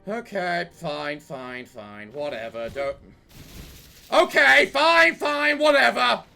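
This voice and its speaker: Man, let's play host, hoarse from yelling, high and animated, prone to sweary rants. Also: slow, faint music behind. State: strained voice from yelling. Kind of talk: gaming commentary.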